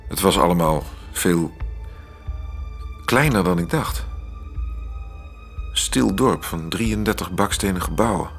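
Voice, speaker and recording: An actor playing a cultured, brooding, articulate man in a radio play, with weighted, halting phrasing. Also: deep voice, creaky, soft background music, heavily acted. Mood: grave, haunted.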